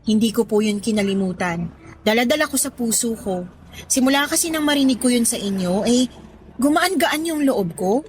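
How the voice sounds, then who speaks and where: Actress as a high-voiced, polite young Filipino woman. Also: lively intonation, normal speed, a little hiss or hum, lightly acted. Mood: earnest, grateful. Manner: polite, deferential. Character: grateful, respectful young working woman, recent graduate. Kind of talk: Tagalog audio drama acting.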